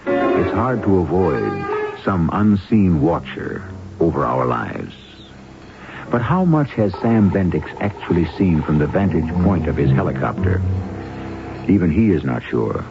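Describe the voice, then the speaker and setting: An actor playing an ominous storyteller host, narrating a 1970s radio mystery with deliberate, rhetorical suspense. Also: male voice, low voice, sing-song, gravelly, full character voice. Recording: dull vintage radio broadcast with music.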